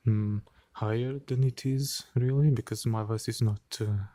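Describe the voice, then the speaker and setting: A man in an unedited late-night vlog, speaking quietly and hesitantly with many pauses and fillers, cold and shivering a little into a handheld phone outdoors. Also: lowish voice, steady tone, no echo. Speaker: vlogger. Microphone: phone held by hand.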